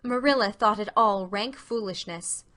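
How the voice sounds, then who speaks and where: Bright-voiced woman narrating a storybook. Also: mid-range voice, moderate pace.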